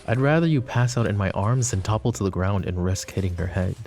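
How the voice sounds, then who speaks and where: A possessive, flirtatious mafia boss, acted by a man in an intimate ASMR roleplay. His voice is low and very mellow, with a heavy gravelly fry. He is smooth-talking and protective, with a controlled menace under the teasing. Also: a little fast, close to an everyday voice.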